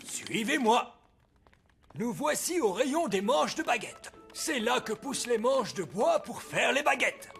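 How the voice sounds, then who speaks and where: A man voicing a wise old elf who runs a workshop in a French cartoon dub, deliberate and authoritative. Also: very high voice, sing-song, vocal fry, fairly bright tone, faint music behind, exaggerated voice. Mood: explaining. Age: older.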